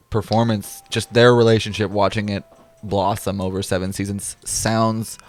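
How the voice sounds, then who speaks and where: A man's voice: fairly low pitch, darkish tone. A man co-hosting a podcast in casual chat, enthusiastic and rambling, often trailing off and restarting. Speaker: podcast co-host.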